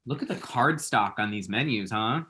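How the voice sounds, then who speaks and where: A man's voice: fairly low pitch, animated, normal speed, pushed and bright. A man playing a flustered, lovestruck and bitchy young man, sassy and theatrical, in a screenplay table read. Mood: flustered, nervous.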